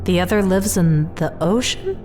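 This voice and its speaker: An actress as a middle-aged woman scientist in a sci-fi audio drama, harried and guarded. Her voice is fairly low and clipped, with music clearly behind it. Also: lively intonation, normal speed, a little mellow, close to an everyday voice. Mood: puzzled, doubtful. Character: harried, doubtful female scientist and mother.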